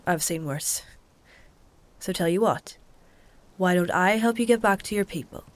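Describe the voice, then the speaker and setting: An actress playing a practical, stubborn travelling healer in a fantasy audio drama, with a dry, matter-of-fact, conversational way of talking. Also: female voice, lowish voice, measured pace, close to an everyday voice. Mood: wry, reassuring. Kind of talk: dark fantasy audio drama dialogue and narration.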